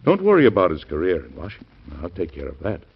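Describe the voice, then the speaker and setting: A grieving, forceful president, husky, deep, swinging pitch, old-time radio drama, dull vintage recording. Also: male voice, huge pitch swings, fast, full character voice. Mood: indignant. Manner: impassioned, commanding. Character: grieving forceful male U.S. president, middle-aged. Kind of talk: classic radio drama acting.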